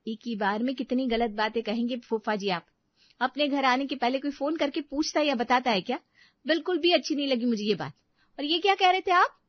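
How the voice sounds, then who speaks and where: A woman's voice: high voice, a little fast, no room sound, muffled recording. A woman voicing a dutiful, emphatic young daughter-in-law in a family drama. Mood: insistent. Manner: earnest, emphatic.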